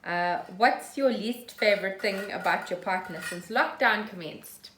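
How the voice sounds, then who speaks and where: A woman's voice: mid-range voice, unhurried, a bit bright. A woman chatting casually as co-host of a home podcast. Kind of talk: casual chat. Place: room at home. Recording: echoey room with background noise.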